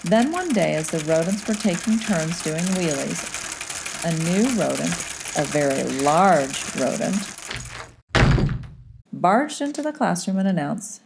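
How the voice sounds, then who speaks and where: A woman reading a storybook aloud as a teacher would, in expressive, dramatic children's narration. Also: fairly low pitch, unhurried, creaky, very noisy.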